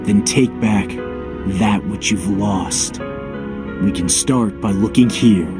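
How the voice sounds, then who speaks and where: An actor playing a steady, blunt comrade and mentor in a game cutscene, speaking in a measured, frank way. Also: male voice, on the low side, music in the background. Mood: calm, encouraging. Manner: measured, frank, matter-of-fact. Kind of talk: scripted game cutscene acting.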